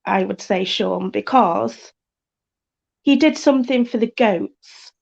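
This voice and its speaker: British female caller, casual and halting, some vocal fry, muffled lo-fi audio. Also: mid-range voice, darkish tone. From United Kingdom. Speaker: caller to a live show. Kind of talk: casual chat. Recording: dull, lo-fi call audio.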